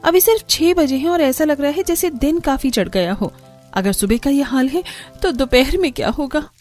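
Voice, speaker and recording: Woman voicing a high-pitched young village daughter-in-law. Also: lively intonation, moderate pace, darkish tone, faint music behind. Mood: weary, complaining. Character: poor young village daughter-in-law, earnest, weary of the heat.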